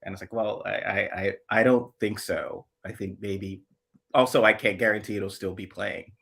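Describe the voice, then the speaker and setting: A man with a low voice talks in a dry, musing tone. His sentences often sink away quietly. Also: steady tone, normal speed, creaky, breathy edge. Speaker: co-host. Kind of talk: casual podcast chat.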